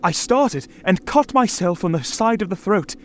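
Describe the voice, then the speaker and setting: An actor playing an anxious, imprisoned gentleman recounting horrors in a dramatic vintage radio style. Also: high voice, lively intonation, normal speed, a little hiss or hum. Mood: tense, haunted. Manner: dramatic, haunted, emphatic. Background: dramatic music.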